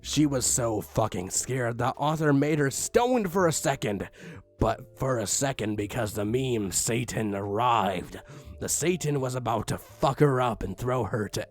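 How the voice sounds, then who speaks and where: A man's voice: medium pitch, animated, a little slow, soft background music. Exasperated man doing a dramatic reading. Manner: exasperated, mock-dramatic, sighing and swinging into outbursts. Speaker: reader of fan fiction. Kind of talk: dramatic reading of a bad fan story.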